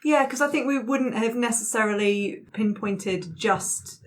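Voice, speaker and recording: A woman's voice: on the high side, measured pace. A woman player chatting casually about a roleplaying game, somewhat hesitant and correcting herself as she goes. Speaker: tabletop roleplay podcast player. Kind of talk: casual group chat.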